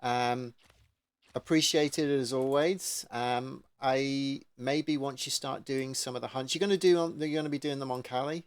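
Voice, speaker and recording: A man's voice: medium pitch, steady tone, normal speed, dry recording. A man hosting a gaming channel, chatting casually with a guest in an informal interview.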